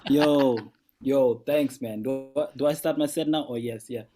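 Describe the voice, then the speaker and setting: A man's voice: mid-range voice, steady tone, normal speed, dark tone, faint music behind. A man from Sudan, doing a laid-back stand-up set from home over a video call, repeating words and chuckling through his jokes. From South Sudan. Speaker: guest comedian. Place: home at night.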